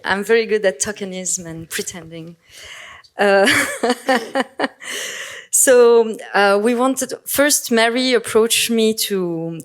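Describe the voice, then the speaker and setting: A woman from Morocco whose first language is French, moderating a conference panel in English on a handheld microphone, talking with many hesitations and self-corrections and laughing often. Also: mid-range voice. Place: conference hall.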